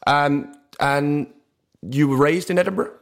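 A man's voice: on the high side, a little slow, creaky, no room sound, clean studio sound. A young man speaking as a podcast host, introducing and interviewing his guest. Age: young adult.

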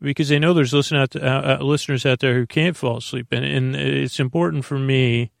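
A man with a creaky, gravelly voice hosting a bedtime podcast in a rambling monologue, halting and absent-mindedly drifting off on tangents. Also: medium pitch, steady tone, dry recording, studio quality. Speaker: podcast host.

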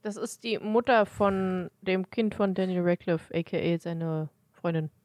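A woman's voice: on the low side, fairly even intonation, unhurried, a little mellow, no echo. A woman co-hosting a podcast, chatting casually as a fan. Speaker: podcast co-host.

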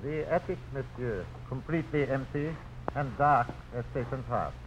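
Over faint radio hiss, a man acts out a fussy gentleman in a 1950s radio play. He is mid-pitched, formal and florid, with a theatrical, easily alarmed air. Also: expressive, moderate pace, lightly acted. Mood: uneasy, dramatic. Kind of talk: 1950s American radio drama acting. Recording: slightly hissy old radio broadcast.

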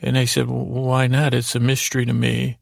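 A man speaks in a heavily fried, gravelly voice with very little pitch movement, as the host of a bedtime podcast. His monologue wanders and halts, doubling back on stumbled words. The sound is close and dry, with faint music underneath. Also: completely flat delivery, no room sound. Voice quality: creaky, gravelly. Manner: meandering, halting, stumbling over words. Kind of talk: rambling bedtime monologue to help listeners sleep.

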